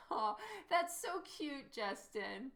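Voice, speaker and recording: A woman's voice: sits high, lively intonation, very slow, gravelly, fairly bright tone. A woman artist casually chatting with stream viewers while she paints, giggling often and answering their questions.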